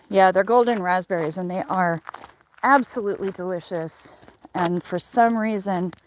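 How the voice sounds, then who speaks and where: A woman chatting casually and playfully on a livestream while out walking, with a wry, salty, rambling manner. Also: on the low side. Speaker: livestreamer hosting a walk-along. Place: outdoors on a neighborhood walk. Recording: noisy outdoor handheld recording.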